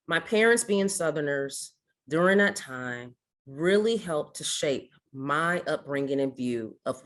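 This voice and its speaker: A woman in her forties, from Ohio, giving a keynote speech at a ceremony in a measured, rhetorical way, building toward challenge questions to the audience. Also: sits low. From Ohio, USA. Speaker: keynote speaker.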